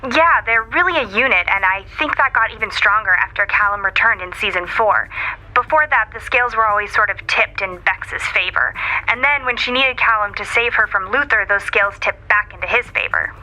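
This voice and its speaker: A woman's voice: mid-range voice, fairly quick, sharp tone, rough recording. A woman playing a friendly, wry TV writer, chatting as an interview guest over a thin video-call line. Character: friendly, wry female TV writer calling in remotely. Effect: thin video-call filter. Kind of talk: conversational remote interview.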